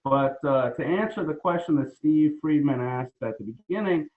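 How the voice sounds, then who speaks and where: A middle-aged law professor with a New York accent, talking casually on a video call, hesitant and full of fillers. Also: male voice, fairly high pitch, moderate pace. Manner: relaxed, hesitant with many fillers. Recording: phone-like video call audio.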